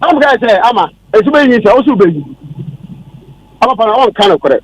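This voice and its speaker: A man's voice: sits high, sing-song, quick pace, slight background noise, poor audio. A Ghanaian-accented man calling in to a radio show, arguing his side insistently and repeating himself. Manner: insistent, repetitive. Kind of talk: heated argument.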